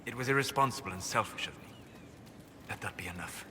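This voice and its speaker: A man playing a grave, regretful elven mage in a video game, guarded and formal in his measured speech. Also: fairly low pitch, sharp tone, a little hiss or hum. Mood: regretful, restrained. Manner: formal, measured, restrained phrasing. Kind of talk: dramatic video game acting.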